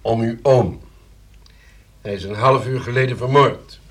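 A male actor plays a probing police detective in a radio play. He speaks at a medium pitch, with dry irony and pointed suspicion. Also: a little slow, close to an everyday voice. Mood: wry, matter-of-fact. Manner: dry, ironic, pointed questioning. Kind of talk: Dutch radio play acting.

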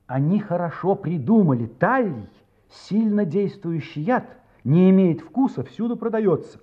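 An actor playing an earnest amateur sleuth in a Russian radio play, explaining things with concern in a slightly husky voice. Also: male voice, sits high, expressive, a little slow. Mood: earnest, reasoning. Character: earnest amateur sleuth, worried man reasoning out a crime. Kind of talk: audio play dialogue and narration. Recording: slightly muffled recording.

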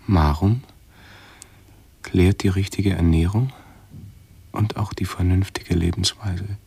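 Husky, low-voiced fussy hypochondriac man. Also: fairly even intonation, mellow, no echo, exaggerated voice. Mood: pedantic, brooding. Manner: halting, pedantic, formal phrasing. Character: hypochondriac male patient, fussy, anxious and self-absorbed. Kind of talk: 1970s German radio play acting.

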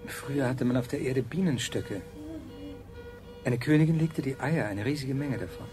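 Male actor as dutiful colony ruler, medium pitch, deliberate lecturing delivery, radio play, clear music underneath. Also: natural voice. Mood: instructive. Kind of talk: 1970s German radio play acting. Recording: music behind the voice.

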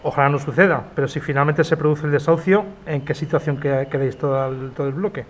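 A fast-speaking male reporter with a slightly high voice , played by an actor. He is supportive and informal, interviewing for community radio in an audio drama. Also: fairly even intonation, natural voice. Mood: engaged, supportive.

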